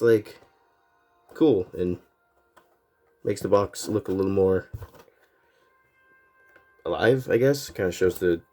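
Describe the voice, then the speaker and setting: A man casually reviewing a toy set, sounding congested and a little nasal from a cold, rambling with plenty of fillers. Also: lowish voice. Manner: rambling, relaxed, lots of fillers.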